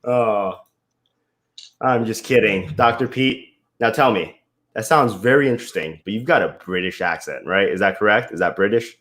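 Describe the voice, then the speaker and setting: An American man hosting a party pitch game on a video call, teasing and mock-stern with his questions. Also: normal speed, a bit bright. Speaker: game host.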